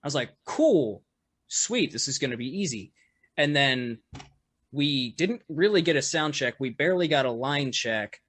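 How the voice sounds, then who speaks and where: A man, a musician guest on a podcast, with a loud voice, telling a story in casual chat full of fillers and restarts. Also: mid-range voice, lively intonation, normal speed, no echo. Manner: rambling, lots of fillers and restarts, laughs often.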